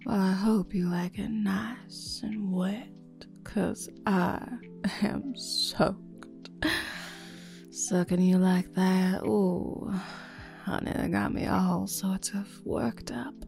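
A woman voicing a flirty, teasing adult server in an intimate audio roleplay, talking in a breathy whisper full of endearments. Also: medium pitch, little pitch movement, very slow, darkish tone, soft background music.

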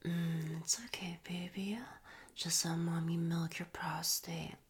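A young adult woman performing an intimate audio roleplay in a soft, teasing whisper with breathy murmurs and quiet chuckles. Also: on the low side, flat delivery, slow.

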